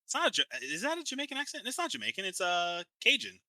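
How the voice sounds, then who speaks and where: A man's voice: high voice, huge pitch swings, fast, pushed and bright, dry recording. A young man co-hosting a podcast in casual chat, blunt and argumentative, swearing a lot. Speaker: podcast co-host.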